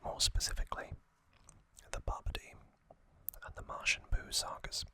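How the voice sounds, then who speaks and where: An ASMR host whispering trivia, softly repeating single words as triggers and reading from a box cover. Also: normal speed. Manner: reads aloud from a box cover.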